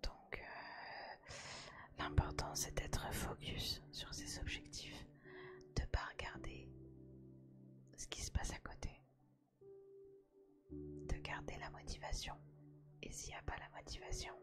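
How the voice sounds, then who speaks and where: A woman whispering a casual ASMR chat to her viewer, hushed, gentle and reassuring. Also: slow pace, pauses often, over loud music. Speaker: ASMR video host.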